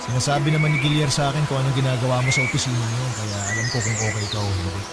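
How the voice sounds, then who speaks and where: A man's voice: medium pitch, fairly even intonation, very fast, very noisy, natural voice. A man playing a reserved, dryly teasing lawyer in love in a Filipino radio drama, with a Filipino accent. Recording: loud music under the voice.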